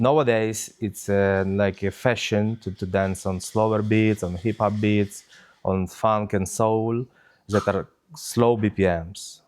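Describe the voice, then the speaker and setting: A man's voice: lowish voice, a little mellow. A man from Ukraine speaking English, answering interview questions with many hesitations and fillers. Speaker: DJ guest.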